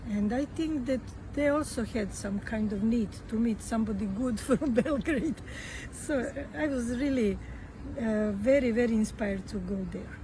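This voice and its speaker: A Serbian-accented woman speaking English as a second language. She talks slowly and haltingly, pausing often on fillers. The recording is noisy, with a clear hum of passing traffic behind her. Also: fairly high pitch, mellow. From Croatia. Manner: slow and halting, many fillers and restarts. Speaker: guest author and activist. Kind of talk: podcast interview.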